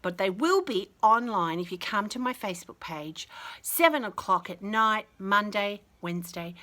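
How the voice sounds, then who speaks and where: A woman reading a picture book to young children with chatty, gushing commentary and expressive, exaggerated emphasis. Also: mid-range voice, normal speed. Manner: gushing, enthusiastic, talking to small children. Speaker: children's storyteller and host.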